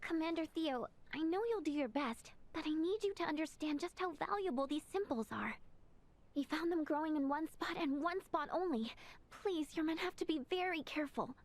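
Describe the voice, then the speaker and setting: A woman's voice: high-pitched, lively intonation, normal speed. An actress playing an earnest, anxious young woman pleading in a video game cutscene. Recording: game cutscene with music behind.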